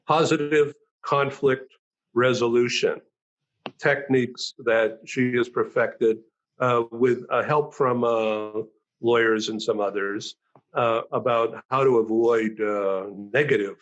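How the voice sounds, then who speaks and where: Halting male talk-show host. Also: medium pitch, a bit bright, lo-fi sound. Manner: halting, many "uh" and "um" fillers. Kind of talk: hosting a video-call talk show.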